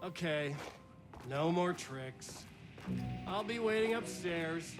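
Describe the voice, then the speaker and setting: Casting: hammy evil twin for a game; cocky, mocking, high-ish male voice, very animated. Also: slow pace, music in the background. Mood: sly teasing. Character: taunting, theatrical evil twin, a cocky brooding young man. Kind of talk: hammy game villain acting.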